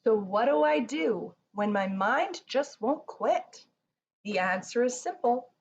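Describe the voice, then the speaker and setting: Slow-paced woman reading a children's rhyme. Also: a little high, lively intonation. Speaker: picture book reader. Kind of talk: reading a rhyming children's book aloud. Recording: muffled, faint noise.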